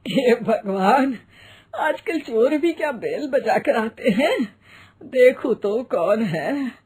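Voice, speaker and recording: A woman's voice: a little high, lively intonation, takes it slowly, a little mellow, husky, full character voice. Woman voicing a scolding, dramatic old Indian mother-in-law. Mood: annoyed. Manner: exclaiming, sarcastic, dramatic. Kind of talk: comic cartoon story dialogue.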